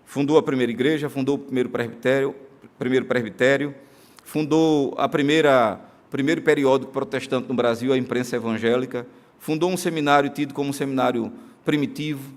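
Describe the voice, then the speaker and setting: A male pastor with a Brazilian accent preaching a sermon in a church, earnest, moving between emphatic declarations and soft, slow solemn passages. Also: medium pitch, steady tone. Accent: Brazilian Portuguese. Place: church sanctuary during a live-streamed service.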